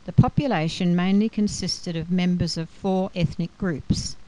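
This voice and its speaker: A woman giving a scripted educational talk in a measured, explanatory manner. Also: on the low side, steady tone, dark tone, rough recording. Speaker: lecturer.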